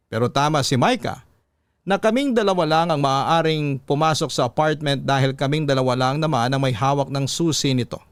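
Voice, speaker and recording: Smooth-voiced man narrating a suspense story. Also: a little high, dry recording. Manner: measured, suspenseful reading. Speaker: host reading a listener's story. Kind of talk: dramatic first-person story narration.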